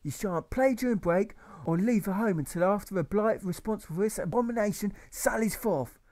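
A man voicing a furious, authoritarian old schoolteacher who yells and rants in old-fashioned speech. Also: high voice, a little fast, pitched above the performer's own voice, natural voice. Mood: ranting. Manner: ranting, yelling, archaic speech.

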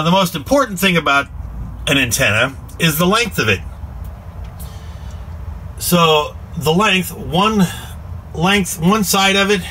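Middle-aged American male DIY vlogger, bright forceful voice pitched a bit high, folksy explaining, noisy phone recording. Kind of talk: casual explanatory how-to talk. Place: inside a small aluminum cargo or horse trailer. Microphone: phone at arm's length. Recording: noisy small metal trailer interior.

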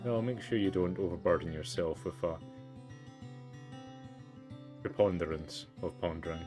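A man's voice: sits low, normal speed, over music, close to an everyday voice. Man playing a suspicious old grandmother. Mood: suspicious, dry. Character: elderly grandmother deer, suspicious, dryly stern.

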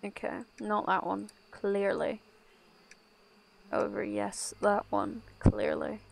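A young woman from Ireland streams a game and chats in a slow, drawn-out way. Her voice carries a gravelly fry, and she rambles, restarts and trails off mid-sentence. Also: medium pitch, level tone. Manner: rambling, self-interrupting, laughs often. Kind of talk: casual gameplay chat.

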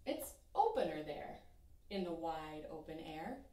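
A young woman teacher reading a rhyming children's picture book aloud in an expressive, sing-song way. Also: low-pitched, measured pace, far from the mic, a little hiss or hum. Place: small room in front of a painted backdrop. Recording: echoey room, voice from off-mic.

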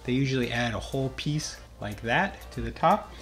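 A man's voice: mid-range voice, lively intonation, normal speed, fairly bright tone, soft background music. Middle-aged man hosting a home cooking lesson. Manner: friendly, explanatory, step-by-step instructions. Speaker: cooking show host. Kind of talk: casual cooking demonstration. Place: home kitchen indoors.

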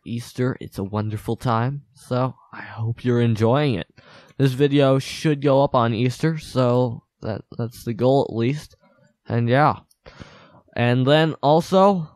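A man's voice: medium pitch, no echo. A young man with a stuffed-up nose giving playful, boastful commentary while playing a game. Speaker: gaming channel host. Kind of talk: casual gameplay commentary.

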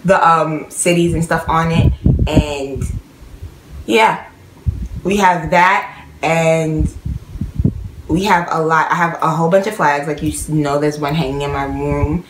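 Young woman vlogger with a New York accent touched by Guyanese, low-ish voice, rambling chat, recorded in an echoey room. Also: steady tone, measured pace, background noise. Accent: New York with a slight Guyanese tinge. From New York, of Guyanese family. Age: young adult. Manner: chatty, rambling, lots of "like" and "um". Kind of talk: casual chat to camera. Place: small bedroom at home.

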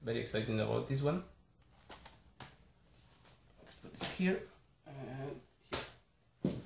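A man's voice: medium pitch, animated, vocal fry, background noise. Argentine man, echoey small room. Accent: Argentine Spanish. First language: Spanish. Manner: halting, apologetic, lists titles one by one. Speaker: collector showing a haul. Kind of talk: casual chat to camera. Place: small bedroom indoors.

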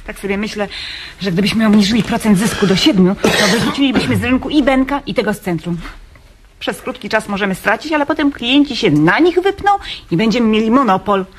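An actress in a radio comedy playing a thrifty, hard-nosed businessman's wife with a brisk, insistent manner. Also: fairly high pitch, animated, a little hiss or hum, lightly acted. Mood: scheming, insistent. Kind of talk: radio comedy drama acting.

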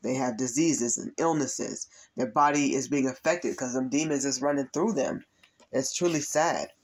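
Raspy, gravelly-voiced man reading cards. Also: mid-range voice, normal speed, low-quality recording. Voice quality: raspy from allergies and overuse. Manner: earnest, repetitive, addresses viewers as you guys. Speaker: tarot reader and spiritual coach. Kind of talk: spiritual reading monologue to camera.

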